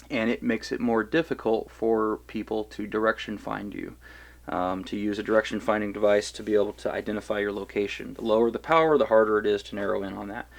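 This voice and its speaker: An American man from the Kansas City area giving a casual, rambling gear review and field test, full of fillers and restarts. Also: on the low side. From Kansas City area, USA. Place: outdoors in wooded hilly area.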